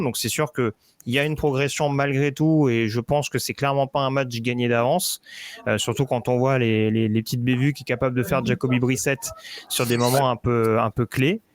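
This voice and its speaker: A man giving casual football predictions on a podcast, hesitant, with many fillers and restarts. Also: mid-range voice. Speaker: football analyst.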